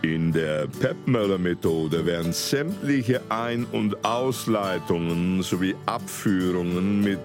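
A man's voice: low-pitched, slow pace, few pauses, music in the background. A man playing an earnest clinic spokesman, reading formal, declarative advertising copy. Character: earnest male clinic promotional video spokesman. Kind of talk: scripted advertising narration.

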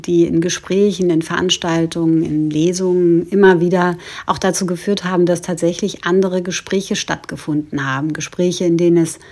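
A woman's voice: lowish voice, a little mellow. A woman speaking as a guest philosopher, giving a reflective recorded message in long, careful sentences.

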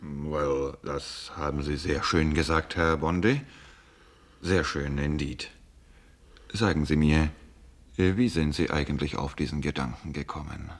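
A man's voice: a bass voice, fairly even intonation, a little slow, a little hiss or hum. An actor in a German radio drama playing a genial, curious foreign businessman who hesitates and drops in English words. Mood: genial, admiring. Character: deep-voiced foreign businessman, genial, slow-witted, curious.

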